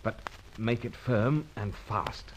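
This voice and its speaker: An actor playing a gentlemanly British secret agent in an old radio adventure serial, clipped and commanding. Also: male voice, on the low side, takes it slowly, over music, poor audio. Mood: hushed, brisk. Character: calm heroic British secret agent, leader and narrator.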